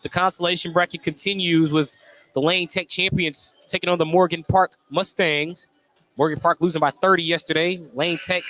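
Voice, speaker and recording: A man's voice: on the high side, a bit bright. An American man doing live play-by-play basketball commentary on the radio.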